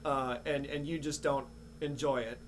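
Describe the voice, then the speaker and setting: A man's voice: mid-range voice, fairly even intonation, normal speed. Casual man chatting over humming noise. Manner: laid-back, rambling, profane. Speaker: podcast host. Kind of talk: casual podcast chat. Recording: clear hum and background noise.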